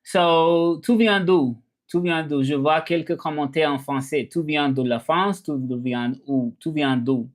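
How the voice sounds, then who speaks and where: A man's voice: on the high side, measured pace, hardly any pauses. Southern American man chatting live. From Memphis, Tennessee, USA. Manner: repeats phrases, talks straight to viewers, switches languages mid-thought. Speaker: live streamer and language teacher. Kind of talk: casual live-stream chat, switching between English and French.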